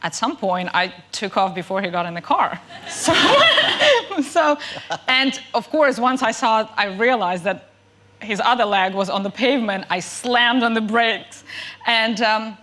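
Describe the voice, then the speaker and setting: A woman's voice: on the high side, sing-song, unhurried, pushed and bright. A woman in her thirties from Ukraine with a Ukrainian-French accent, telling stories as a chat show guest with many ums. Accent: Ukrainian and French mix. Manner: chatty, hesitant storytelling with many ums. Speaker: guest actress. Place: TV studio.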